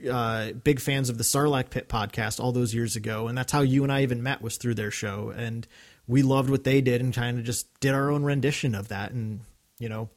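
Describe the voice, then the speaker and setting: A man's voice: mid-range voice, fairly even intonation, a little fast. A man co-hosting a fan podcast, reading listener mail and chatting casually, laughing easily. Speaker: podcast co-host.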